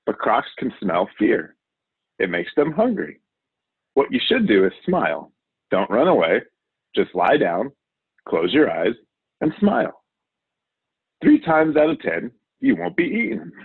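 Male reader as a showy, reckless TV adventurer for a children's storybook; husky, low, cheerfully overconfident. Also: no room sound, full character voice. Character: wild, boastful male TV survival show host. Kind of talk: children's storybook character reading. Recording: narrow, phone-like audio.

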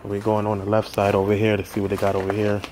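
A man's voice: lowish voice, monotone, fast, a little mellow. A man vlogging while exploring an abandoned old factory at night, talking casually in slang, swearing and easily spooked. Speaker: vlogger exploring a haunted building. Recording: noisy handheld camera audio.

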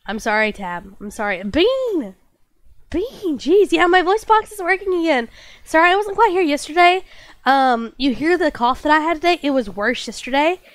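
High-pitched young woman streamer, congested and coughing, chirpy and full of fillers. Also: expressive, normal speed, dry recording. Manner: bubbly, apologetic, lots of fillers. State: stuffy nose and lingering cough. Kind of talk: casual chat with stream chat.

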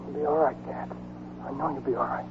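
A man's voice: medium pitch, steady tone, brisk, background noise, natural voice. An actor playing a grieving, devoted man telling of his lover's death in a 1940s radio drama. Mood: anxious, tender. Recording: tinny, muffled 1940s radio broadcast.

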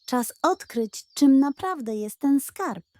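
A woman voicing a kind, wise little male puppy in a storybook, gentle, earnest and playful. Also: sits high, animated, slow pace, no room sound, pitched above the performer's own voice.

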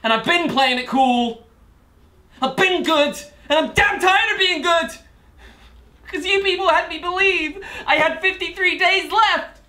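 A bitter, defiant male prisoner, performed by an actor in a dramatic courtroom monologue. His voice is forceful and sharp-edged, and he repeats words as he addresses those who will sentence him. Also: a little high, close to an everyday voice. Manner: halting, repeats words, building from subdued to shouting.

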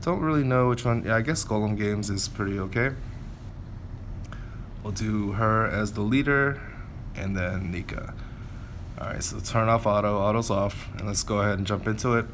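A man with a fairly low voice hosts casual gameplay commentary. He talks informally, thinking aloud as he goes. Some background noise sits behind his voice. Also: moderate pace, pauses often, fairly bright tone. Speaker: game content creator, host.

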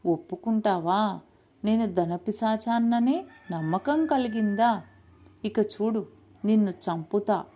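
A woman's voice: medium pitch, takes it slowly, long pauses, warm tone. A woman voicing a bloodthirsty, taunting female demon in a storybook tale. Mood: taunting, menacing. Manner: threatening, taunting, cackling. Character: menacing, bloodthirsty female money demon, loud and mocking.

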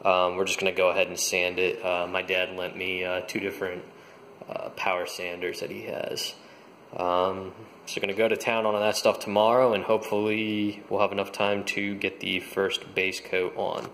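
A young man casually explaining his home renovation work while filming it himself, pausing often with ums and uhs. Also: deep voice, flat delivery, a bit bright, slight background noise. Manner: hesitant, many ums and uhs. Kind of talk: casual how-to narration. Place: kitchen in a house, indoors. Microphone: handheld camera.

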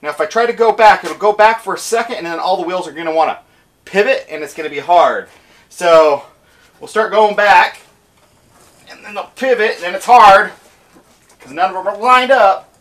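A man's voice: high voice, normal speed, a bit bright. A man, casually reviewing tools in his garage workshop, talking practically and thinking aloud with fillers. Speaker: garage DIY channel host. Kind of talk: casual hands-on product review. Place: cluttered home garage workshop.

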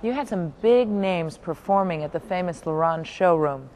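A woman's voice: on the low side, expressive, normal speed, darkish tone, slight background noise. A woman hosting a travel show, asking her guide questions in an inviting, conversational way. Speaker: host. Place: outdoors on a beach boardwalk, later a hotel lobby.

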